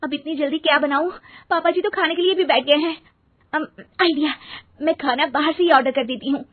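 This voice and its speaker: A woman's voice: high-pitched, a little fast, no echo, phone-quality sound, voice pushed up, lightly acted. A woman voicing an eager, anxious young daughter-in-law in a cartoon story. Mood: flustered.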